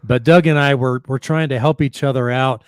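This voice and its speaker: Guy hosting a chat show, mid-pitched, stops and restarts a lot, dry close sound. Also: fairly even intonation, clean tone, no room sound. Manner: friendly, halting, many restarts and ums. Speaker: podcast host. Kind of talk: casual interview chat.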